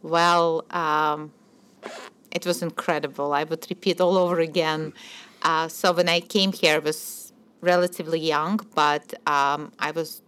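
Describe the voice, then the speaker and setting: A woman's voice: deep voice, flat delivery, unhurried, a bit bright, clean tone. A middle-aged woman from Ukraine and Belarus, speaking as a radio interview guest and hesitating often. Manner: hesitant, many fillers and restarts. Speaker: guest, theater producer.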